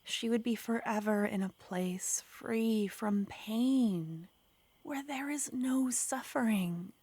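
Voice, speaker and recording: A woman voicing a clingy, menacing yandere witch in an ASMR roleplay, speaking in a soft, coaxing whisper. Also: on the high side, takes it slowly, breathy edge, dry recording.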